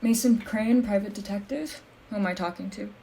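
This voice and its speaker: A woman's voice: mid-range voice, moderate pace, creaky, mellow, slight background noise, close to an everyday voice, poor audio. A woman playing a pessimistic, world-weary private detective in an audio drama, narrating and speaking in a dry, wry manner.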